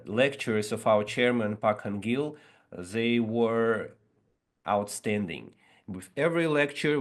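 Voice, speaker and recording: A man in his thirties whose first language is Russian, giving an earnest motivational seminar talk over a video call, measured and pausing often. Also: fairly low pitch. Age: 30s. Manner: earnest, measured, with frequent pauses and fillers. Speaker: seminar speaker.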